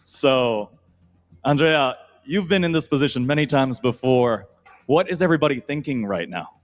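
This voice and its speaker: A man's voice: medium pitch, expressive, normal speed, highs cut off. A man co-hosting a live pageant on an arena stage, upbeat and joking with his co-hosts. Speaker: pageant co-host.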